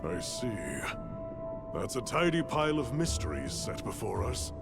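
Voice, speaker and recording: A man playing a composed, scholarly expedition leader in a video game, speaking in a formal, measured and authoritative manner. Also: medium pitch, very animated, vocal fry, over loud music. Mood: resolute. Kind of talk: video game cutscene acting.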